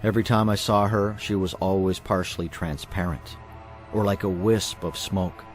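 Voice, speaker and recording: A man's voice: low-pitched, steady tone, moderate pace. A man reading a scripted ghost story in the first person. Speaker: horror story narrator. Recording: music behind the voice. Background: music.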